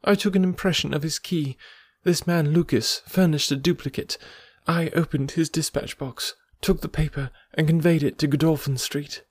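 A troubled young noblewoman, as a male audiobook reader puts her on, speaks in an earnest, measured way. The voice sits a little higher than the reader's own. The close, dry recording leaves no room sound around it. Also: fairly even intonation, dry recording, close to an everyday voice. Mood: distressed. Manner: earnest, measured, softened delivery. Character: anxious noble young English lady, confessing. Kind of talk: audiobook character reading.

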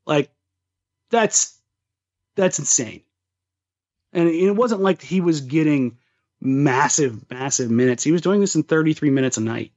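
A man's voice: on the high side, animated, a little slow, long pauses, darkish tone. A man co-hosting a sports podcast, chatting casually about basketball with slangy, emphatic phrasing. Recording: slightly dull, lo-fi voice recording.

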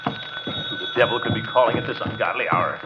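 An actor playing a pompous, grumbling, flustered middle-aged uncle in a 1940s style radio comedy. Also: male voice, lowish voice, a little fast, over loud music, close to an everyday voice. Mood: grumpy, flustered. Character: blustering, flustered, self-important uncle of the house. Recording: noisy, muffled vintage radio recording.

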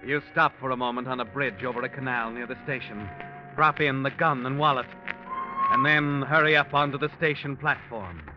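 An actor as a grave thriller narrator, telling the story in the second person like old radio drama. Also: male voice, medium pitch, steady tone, normal speed, natural voice. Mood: grave, suspenseful. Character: grave thriller narrator addressing the killer directly. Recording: muffled old radio recording. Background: music.

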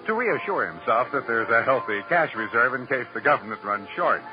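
A man's voice: medium pitch, expressive, brisk. A male American announcer reading scripted credits and promos in a 1950s radio broadcast style. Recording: dull vintage radio recording. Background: music.

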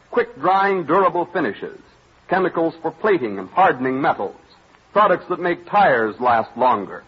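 An American man reading formal, scripted copy as a 1930s radio announcer, in measured oratorical phrases. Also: fairly high pitch, husky. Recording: muffled vintage 1930s radio broadcast.

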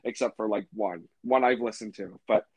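A man's voice: lowish voice, normal speed, husky, dry recording, lo-fi sound. A man hosting a podcast in a laid-back, casual way, swearing freely and saying "you know" a lot. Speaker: podcast host.

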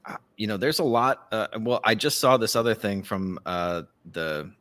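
A man's voice: on the low side, expressive, moderate pace, fairly bright tone. A man giving casual, profane political commentary as a podcast host, ranting and mockingly acting out what others say.

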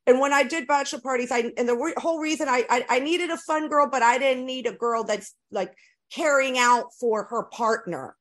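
A frank woman with a voice that sits a bit high tells her stories in a loose, animated way. She swears freely, restarts her sentences and keeps throwing in asides. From California. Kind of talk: casual storytelling chat.

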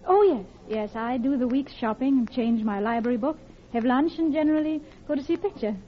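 An actress playing an earnest, polite, middle-class English wife in an old-time radio drama, with a genteel, hesitant manner. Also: female voice, high-pitched, a little fast, natural voice. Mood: shy, friendly. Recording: muffled vintage radio recording with music.

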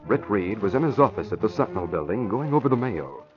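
An actor as the brisk, dramatic narrator of a 1940s radio serial, reading scripted narration. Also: male voice, fairly low pitch, sing-song. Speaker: announcer, narrator. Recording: muffled old radio recording, music behind.

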